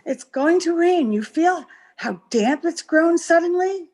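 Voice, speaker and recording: A woman's voice: extremely high pitch, lively intonation, measured pace. An actress playing a domineering, hard-headed, scornful woman, bossy and impatient, in the style of a 1930s radio horror play. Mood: wary, dismissive. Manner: bossy, sharp-tongued, impatient.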